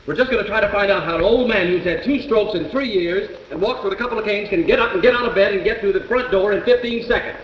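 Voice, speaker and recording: An echoey, faintly noisy 1950s television drama recording. A man acts a calm, persistent male juror with a slightly high voice. He explains methodically, hesitating as he works through each step. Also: fast, hardly any pauses, lo-fi sound, lightly acted. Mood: polite, insistent.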